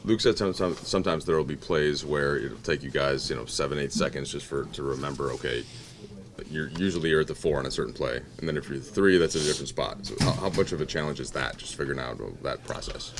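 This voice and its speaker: A male sports reporter asking rapid, rambling postgame questions with restarts. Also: extremely low pitch, background noise.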